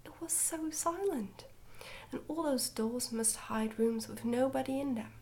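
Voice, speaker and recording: A woman reads a story aloud for ASMR. Her voice is whispered and breathy, sitting slightly high. She tells the tale gently and carefully, keeping everything soft and hushed. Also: measured pace. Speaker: ASMR book reader.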